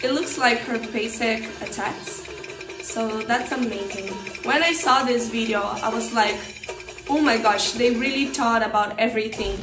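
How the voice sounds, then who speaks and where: A young woman speaks in a somewhat high voice. She chats casually and with gushing excitement. The room rings around her voice, with some music behind it. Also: normal speed, echoey room, rough recording. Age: young adult. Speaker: YouTuber and streamer. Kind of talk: casual reaction video chat. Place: small decorated streaming room at home.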